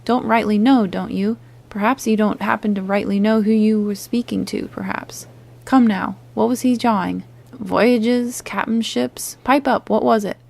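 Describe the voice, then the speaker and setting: A woman voicing a hearty one-legged male pirate innkeeper who blusters loudly in sailor slang, read as an audiobook character. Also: mid-range voice, steady tone, unhurried, creaky. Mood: scolding.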